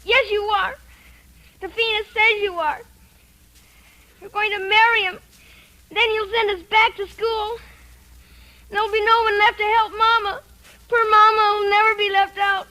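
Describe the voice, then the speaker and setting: A woman's voice: very high voice, flat delivery, measured pace, pauses often, sharp tone, heavily acted, low-quality recording. A woman playing a distraught, haunted young boy, plaintive and insistent, in an old-time radio drama. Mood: tearful, angry. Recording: vintage radio drama recording.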